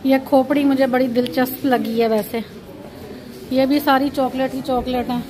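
A woman's voice: sits high, fairly even intonation, moderate pace, crowd noise, rough recording. A woman from Pakistan, narrating a casual walking tour as a vlogger and pointing things out as she goes, recorded on a handheld phone. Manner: pointing things out, repeating "look at this". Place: chocolate shop and busy shopping street.

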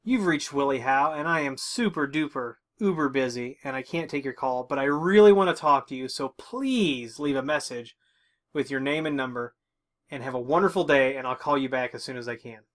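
A man's voice: mid-range voice, moderate pace, fairly bright tone. A middle-aged man giving an informal tech walkthrough, talking through each step as he goes. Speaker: tech tutorial host. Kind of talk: casual instructional walkthrough. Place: small room with tech equipment, indoors.

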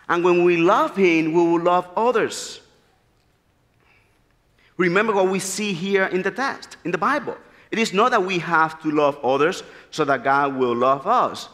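A man delivers an earnest, animated sermon from the pulpit. His voice sits slightly high for a man. The sound carries a long reverb from the large church hall. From Dominican Republic. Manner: animated, gesturing freely, earnest storytelling. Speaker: preacher. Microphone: pulpit mic.

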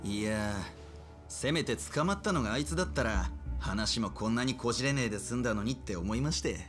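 Animated junior yakuza played by a man. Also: medium pitch, a little fast, faint music behind, close to an everyday voice. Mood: hesitant, insinuating. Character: doubtful junior yakuza gangster, politely rough. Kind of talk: Japanese crime video game voice acting.

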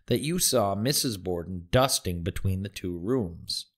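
An actor playing a prosecuting attorney in an audio drama, questioning a witness in a formal, methodical way. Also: male voice, low-pitched, animated, measured pace. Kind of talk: audio drama reenactment of trial testimony.

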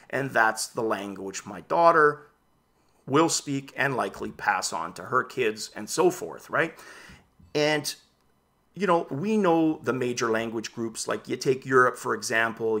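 Gravelly, bright-voiced man chatting VR news. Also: mid-range voice. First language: Dutch. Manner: animated, gestures while talking. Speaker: VR news vlogger. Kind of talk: casual news commentary to camera. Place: home room with shelves of games.

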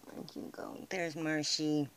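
An American woman filming outdoors on a handheld camera, talking softly to squirrels as she feeds them. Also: low voice, level tone, takes it slowly, a little hiss or hum. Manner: hushed, chatty, talking to the animals. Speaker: vlogger feeding squirrels. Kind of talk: casual commentary while filming. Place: outdoors by a house, on snowy steps.

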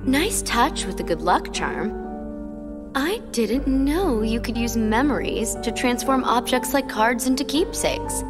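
A woman voicing a cruel, mocking young female villain in a video game cutscene, taunting and condescending, over game music. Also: fairly high pitch.

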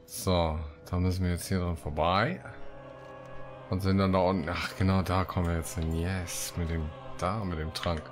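Sehr tiefe Männerstimme beim Let's Play. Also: male voice, sits low, little pitch movement, normal speed. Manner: joking, muttering to himself. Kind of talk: casual gaming commentary with read-out quests. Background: game music.

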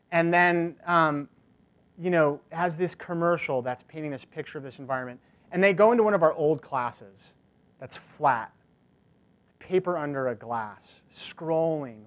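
A man in his forties giving a casual, energetic conference keynote, chatty and full of "like" fillers, in a large lecture hall. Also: on the high side. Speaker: keynote speaker. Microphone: lapel mic.

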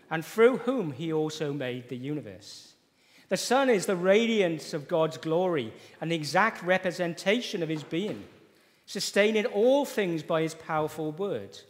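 A man leading a church service, reading scripture aloud in an earnest, measured way and praying. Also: on the high side. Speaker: church leader introducing the preacher. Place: church sanctuary with wooden panelling. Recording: long reverb of a church hall.

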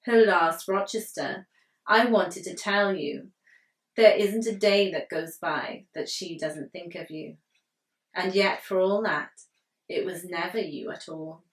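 Slow-reading woman poet in an echoey room. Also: fairly low pitch. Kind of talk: poetry reading. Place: living room, indoors on a sofa. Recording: roomy living-room echo, distant mic.

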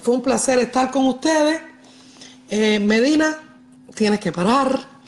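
Woman, middle-aged, Cuban accent, fairly high voice, emphatic livestream host, casual, repeats herself. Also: takes it slowly. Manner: emphatic, repeats herself, addresses viewers directly. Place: large living room at home. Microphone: wired earphone mic.

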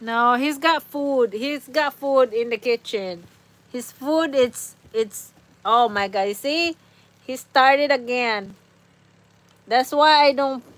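A Filipino woman you'd find live-streaming from her couch, close and dry in sound, taking her time as she repeats simple, halting phrases to whoever drops in. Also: high voice, a bit bright, no room sound. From Philippines. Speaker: livestream host. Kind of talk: casual livestream chat. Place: small indoor room on a couch at home. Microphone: phone at arm's length.

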